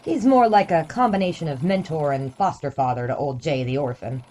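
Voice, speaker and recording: An actress playing a wry, affectionate assistant newspaper editor, narrating an audio drama in a dry manner. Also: female voice, low voice, a little fast, a little mellow. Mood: wry, fond. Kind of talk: scripted first-person drama narration.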